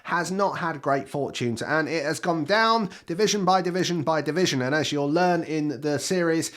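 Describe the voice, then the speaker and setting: A British man with a fairly high voice, a little croaky from a summer cold. He talks straight to the viewer in a relaxed, chatty review style, like a video host sharing his opinions. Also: normal speed. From Britain. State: slightly hoarse from a cold. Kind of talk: casual spoken review.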